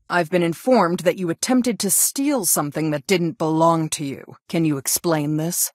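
A stern female school counselor from a video game. Her voice sits on the low side and she phrases things formally, like an official reproaching a student. The sound is close and dry, with very little room on it. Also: darkish tone, dry recording. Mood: disapproving. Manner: formal, scolding, deliberate phrasing. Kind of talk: scripted game character dialogue.

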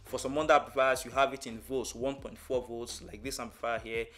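A young man presenting an instructional tutorial in a measured, step-by-step manner. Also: medium pitch, flat delivery, fairly bright tone. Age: young adult. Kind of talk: instructional explanation to camera. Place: small furnished room indoors.

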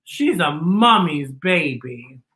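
Man, middle-aged, children's read-aloud, theatrical, slow drawn-out phrasing, echoey room. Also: fairly high pitch, very animated, sharp tone. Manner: theatrical, exaggerated, drawn-out delivery. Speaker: children's storytime host. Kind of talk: animated picture-book read-aloud for children.

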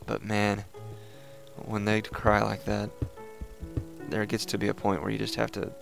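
Raspy low-voiced guy rambling casually while he plays, gravelly, quiet music behind. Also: a little slow. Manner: relaxed, chatty, thinking aloud while playing. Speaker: let's play host. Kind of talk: casual gameplay commentary.

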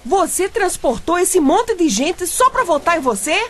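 A woman's voice: very high voice, big pitch swings, measured pace, a bit bright, slight background noise, full character voice. A woman playing a nagging, upright, matchmaking wife with an insistent manner and Brazilian accent in a comic radio drama. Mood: alarmed, disapproving.

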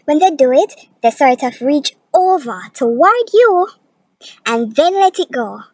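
A woman performing a theatrical audition monologue as an exasperated, emphatic complainer disgusted by sick people, with deliberate pauses. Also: high voice, very animated, darkish tone, dry recording, heavily acted. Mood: disgusted complaint.

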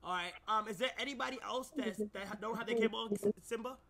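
A man hosting a group video call on a livestream, firing off quick questions and calling out names loudly. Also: high voice, a loud crowd. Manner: loud, rapid roll-call questions. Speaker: stream host.

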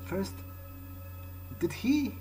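A man's voice: very slow, warm tone, faint music behind. A man doing in-character game commentary, thinking aloud in a musing, hesitant way. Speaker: gamer playing in character.

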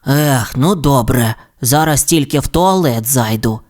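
A woman's voice: very deep voice, animated, unhurried, a little mellow, exaggerated voice. A woman voicing a cautious, timid young boy tyrannosaurus in a children's story, earnest and reluctant.

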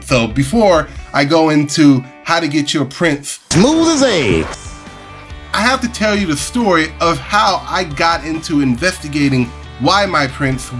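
Brief: man, 30s, stuffy nasal cold voice, animated vlogger, headset mic in a small home room, faint music under it. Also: on the high side. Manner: animated, big hand gestures, exasperated asides. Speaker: 3D printing channel host. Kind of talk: casual vlog talk to camera. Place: small home studio room with collectibles, indoors. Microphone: over-ear headset, webcam framing.

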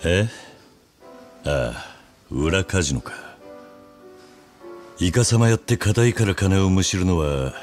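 An actor playing a stoic, reluctant young gangster of few words in a video game drama, speaking gruffly and tersely. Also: male voice, sits low, expressive, takes it slowly, long pauses, darkish tone, faint music behind. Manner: gruff, terse, laconic casual speech.

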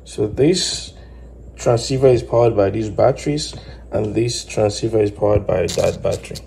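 A man explaining step by step in a halting way, as host of a hands-on electronics tutorial. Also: lowish voice, dark tone. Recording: clear background noise with clicks.

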